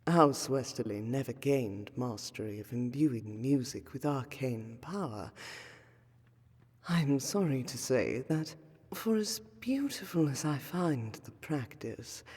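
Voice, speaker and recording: A woman performs a refined vampire noblewoman for a fantasy audio roleplay. Her delivery is very slow and soft-edged, with a husky veil over ornate, old-fashioned phrasing. She carries a courteous melancholy, and her words ring in a long hall reverb. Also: a bass voice, expressive, exaggerated voice. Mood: gracious. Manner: formal, archaic, ornate diction, measured. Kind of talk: fantasy roleplay audio, scripted monologue to the listener.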